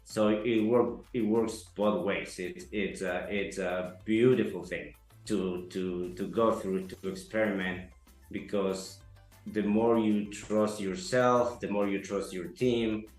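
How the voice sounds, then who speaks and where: A man from Ecuador, giving an earnest, halting testimonial interview full of fillers. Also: lowish voice, steady tone. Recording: echoey room, soft music behind.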